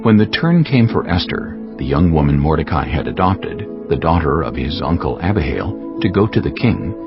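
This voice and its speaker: A male narrator reads a dramatized Bible story with formal, deliberate phrasing. His deep voice moves expressively up and down. The recording is a little muffled, with music running underneath. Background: prominent background music.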